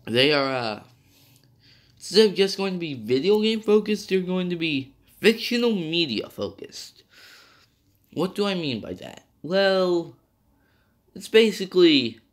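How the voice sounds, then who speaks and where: A man's voice: sits high, very animated, unhurried, pauses often. A young man reviewing a video game in a rambling, casual way, with many fillers and self-corrections, still slightly nasal from being sick.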